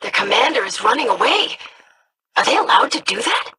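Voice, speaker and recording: Bright, sardonic young noble. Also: female voice, fairly high pitch, very animated, a little fast, slight background noise. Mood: sardonic, crisp. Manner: formal, cutting. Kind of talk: video game voice acting.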